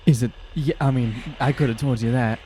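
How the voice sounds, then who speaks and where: A man's voice: medium pitch, fairly even intonation, fairly quick, warm tone, a little hiss or hum. A young man chatting casually and sardonically as a player in a tabletop fantasy game. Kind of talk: casual improvised game chat.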